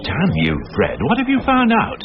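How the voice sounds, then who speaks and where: An actor playing a dry, sardonic, impatient senior police inspector in a radio detective drama. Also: male voice, high voice, wildly swooping pitch, moderate pace, lo-fi sound, a crowd in the background. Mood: irritated, commanding.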